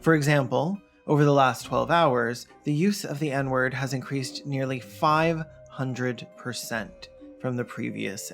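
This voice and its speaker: A woman narrating scripted video commentary in a sardonic way, with casual asides. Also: extremely low pitch. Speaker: video essayist and host.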